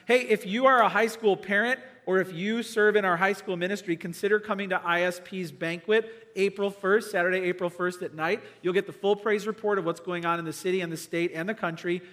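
A man's voice: high voice, fairly even intonation, brisk, hardly any pauses, a bit bright. A male pastor giving church announcements, upbeat and enthusiastic, with many "um"s and "uh"s.

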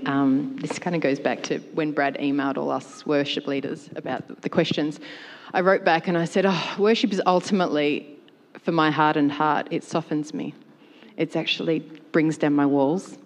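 Lower-voiced woman telling a candid story. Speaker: worship leader. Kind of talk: personal story on a panel. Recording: long hall reverb.

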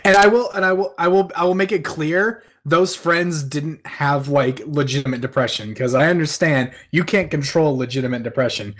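A man's voice: on the high side, normal speed, hardly any pauses, a bit bright. A man in a casual podcast chat, making his point emphatically and repeating phrases for stress. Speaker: podcast panelist.

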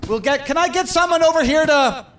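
An actor playing a flustered, placating emcee in a satirical audio drama, halting and repeating himself. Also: male voice, extremely high pitch, a little hiss or hum. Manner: halting, stammering, repetitive. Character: flustered, placating male emcee of a national countdown party.

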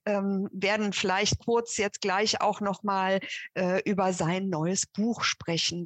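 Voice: mid-range voice, a bit bright, no room sound. Hesitant moderator, dry close sound. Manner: hesitant, many fillers. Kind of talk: moderating a panel.

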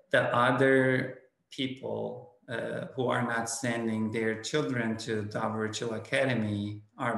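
A man with a Turkish accent, a principal answering interview questions slowly, pausing on many fillers. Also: mid-range voice, creaky, fairly bright tone, reverberant, rough recording. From Turkey. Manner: slow, halting, many "uh" fillers. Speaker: school principal.

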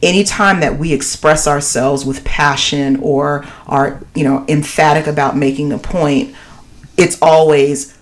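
A woman with a deep voice and low pitch, co-hosting a casual podcast chat. She talks emphatically, leans often on "you know," and builds her points with passion. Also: a little slow. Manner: emphatic, animated, many "you know" fillers. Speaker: podcast co-host.

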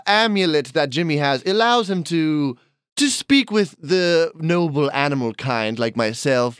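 A grandiose llama aristocrat, voiced by a man holds forth in an affected posh accent, pompous and theatrical. His voice sits fairly high and swoops widely. The sound is dry and close. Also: fairly bright tone, no echo. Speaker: guest host. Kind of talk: improvised comedy podcast character.

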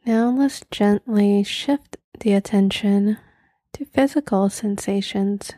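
Very slow, soft woman guiding meditation. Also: mid-range voice, no echo. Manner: gentle, soothing, unhurried with long pauses. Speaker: meditation guide. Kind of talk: guided meditation.

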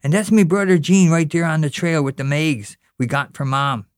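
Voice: normal speed, no echo, clean studio sound, lightly acted. A reader voicing an earnest young boy, pleading and questioning as he prays and bosses his little brother around. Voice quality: high, childlike, pleading. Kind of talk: reading fiction aloud.

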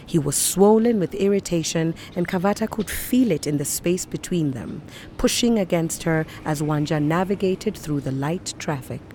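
A woman's voice: on the low side, moderate pace, dark tone, slight background noise, lightly acted. A woman reading scripted narration from a novel.

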